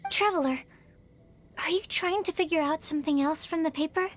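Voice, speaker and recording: An actress playing a gentle, nervous, supportive young woman in a video game. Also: sits high, fairly quick, slight background noise, natural voice. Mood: puzzled.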